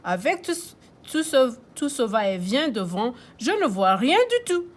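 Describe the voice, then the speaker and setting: A woman with a Québécois accent voicing a grumpy old grandfather who shouts while steering a toboggan in a children's storybook reading. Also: high voice, sing-song, measured pace, heavily acted. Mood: shouting. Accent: Québécois French. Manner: shouting, exasperated.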